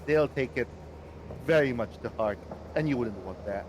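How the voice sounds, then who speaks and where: A man's voice: mid-range voice, normal speed, noisy background. A man playing in a tabletop game, chatting casually and offering advice about lore. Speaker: player.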